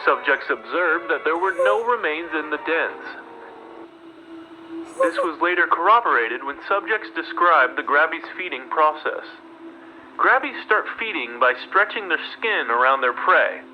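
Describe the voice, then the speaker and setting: A man's voice: on the high side, expressive, unhurried, highs cut off. A man reading scripted mock research documentary narration for an analog horror piece in a formal, clinical manner. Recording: music behind the narration. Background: loud music.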